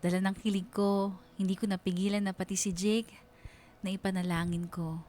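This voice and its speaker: A woman's voice: medium pitch, little pitch movement, moderate pace, warm tone, a little hiss or hum, lightly acted. An actress playing a smitten young woman narrating her own love story for a radio drama, confiding and giddy.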